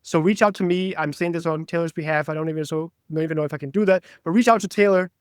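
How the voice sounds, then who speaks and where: A man's voice: fairly high pitch, fast, clear, resonant tone, dry recording, clean studio sound. A man from Brazil whose first language is Portuguese, talking eagerly as a podcast guest in a casual interview. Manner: enthusiastic, talkative.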